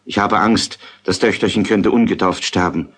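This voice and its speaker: A man's voice: low-pitched, moderate pace, husky. A fretful, impatient father. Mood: worried, irritated. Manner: fretful, scolding. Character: anxious impatient father of newborn daughter. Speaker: father in a radio play. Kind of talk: radio play acting.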